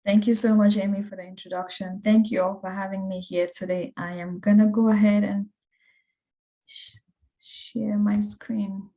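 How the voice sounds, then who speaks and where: A woman's voice: mid-range voice, level tone, moderate pace, mellow. A woman with a Cameroonian English accent speaks as an academic presenter, walking through slides on a video call. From Cameroon, West Africa. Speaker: academic researcher, guest speaker.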